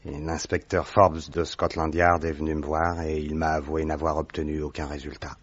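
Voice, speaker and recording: A man's voice: rumbling low, flat delivery, normal speed, dry recording. An actor playing an earnest young man recovering from a long illness, recounting his ordeal in a tired way. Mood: weary. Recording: dry, dull vintage radio recording.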